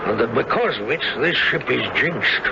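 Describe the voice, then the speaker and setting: An actor playing a stern ship's captain in a 1970s radio drama, formal, deliberate and commanding. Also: male voice, mid-range voice, animated, vocal fry. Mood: stern, resolute. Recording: muffled, noisy vintage radio broadcast.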